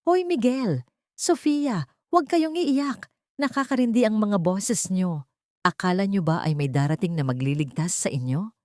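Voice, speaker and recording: A woman's voice: medium pitch, animated, measured pace, a little mellow, dry recording, studio quality, pitched above the performer's own voice, natural voice. A woman voicing a scheming, venomous socialite villainess in an audio drama, mixing Tagalog with English. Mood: cruel, threatening. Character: scheming, venomous young socialite villainess, desperate when cornered.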